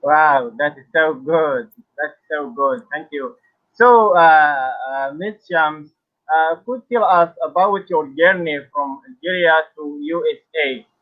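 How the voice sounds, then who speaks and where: Male host from Sudan, higher voice, hesitant with lots of fillers, dull lo-fi audio. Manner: halting, many "uh" fillers, formal phrasing. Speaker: interview host. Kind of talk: live online interview.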